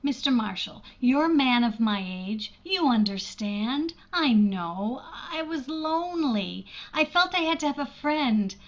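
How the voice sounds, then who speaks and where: A woman's voice: high-pitched, expressive, unhurried, hardly any pauses, highs cut off. A woman acting an earnest, emotive schoolteacher in her thirties in a 1940s-style radio romance. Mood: anxious, confessing.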